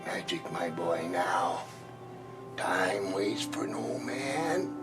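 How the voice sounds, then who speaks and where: A creaky, eccentric old pawnshop keeper. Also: male voice, deep voice, expressive, very slow, music in the background, full character voice. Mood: mysterious. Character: eccentric old male pawn shop owner.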